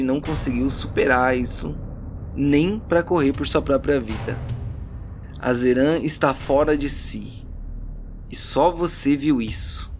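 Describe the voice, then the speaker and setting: Male game master narrating over soft music. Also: fairly high pitch. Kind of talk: dramatic tabletop game narration. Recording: background music under the voice.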